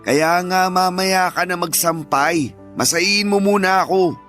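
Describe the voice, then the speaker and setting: High-voiced, whiny young husband. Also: male voice, a little slow, fairly bright tone, faint music behind. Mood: nagging, irritated. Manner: whining, defensive. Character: lazy, selfish young cheating husband, whiny and shameless. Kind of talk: Filipino radio drama acting.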